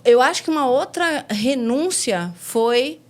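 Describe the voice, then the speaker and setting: A woman in her forties speaking with a Bahian accent, telling her own story in a casual and lively way. She is frank and drops slang and mild curses as she goes. Her voice sits a little on the high side. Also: unhurried. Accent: Bahian Portuguese. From Salvador, Brazil. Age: 40s. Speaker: guest.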